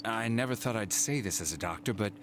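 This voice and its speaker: An actor playing a serious hospital doctor in a video game, explaining things in a careful, measured manner. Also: male voice, fairly low pitch, expressive, background noise, close to an everyday voice. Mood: grave.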